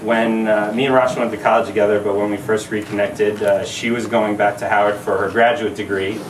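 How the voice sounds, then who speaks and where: Groom, thirties, low voice, halting and laughing toast, echoey party room, mic at a distance. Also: male voice, flat delivery, a little hiss or hum. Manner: halting, many fillers, laughing asides. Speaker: groom giving a speech. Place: party venue with crowd.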